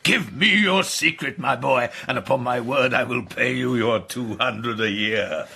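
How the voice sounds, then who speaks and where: A man's voice: mid-range voice, a little slow, gravelly, pushed and bright, slightly rough, full character voice. An actor playing a roguish older English aristocrat, a grasping father who speaks with jovial pomposity in a radio drama. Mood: jovial, needling. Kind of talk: British radio drama acting.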